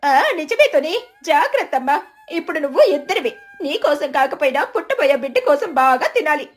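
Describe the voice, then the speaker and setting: A motherly cartoon eagle played by a woman with a high, emphatic voice. She fusses over her younger friends like a protective elder, with soft music behind. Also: sing-song, a little fast. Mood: motherly advice. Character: motherly, indignant female eagle, an older friend.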